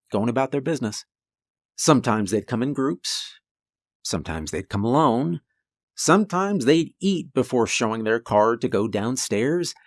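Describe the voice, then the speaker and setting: A man with a deep voice narrating a first-person horror story in a calm, conversational way. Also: no echo, clean studio sound.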